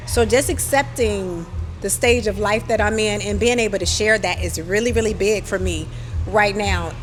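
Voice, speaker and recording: A woman's voice: medium pitch, a bit bright, big-room sound. A woman, talking candidly on a panel and often checking in with the audience. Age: 50s. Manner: candid, conversational, uses tag questions. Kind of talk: panel talk.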